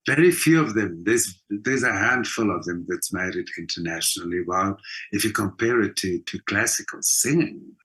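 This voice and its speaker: A man's voice: on the low side, expressive, normal speed, fairly bright tone, slightly rough, rough recording. A South African man speaking emphatically as a conversational interview guest, repeating phrases for stress. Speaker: interview guest, theatre performer and mentor.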